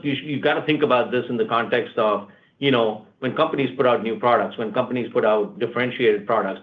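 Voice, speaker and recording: A man with a fairly low voice rattles through his answers at speed, repeating words as he goes. He is a company executive fielding questions on an earnings call. The sound is thin and tinny, as over a phone line. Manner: fast, repeats words, leans on "look" and "at the end of the day".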